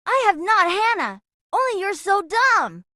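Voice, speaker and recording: A woman's voice: very high voice, very animated, measured pace, pushed and bright, no room sound, studio quality, heavily acted. A woman voicing a cocky, skeptical young boy who argues in an emphatic, scornful way.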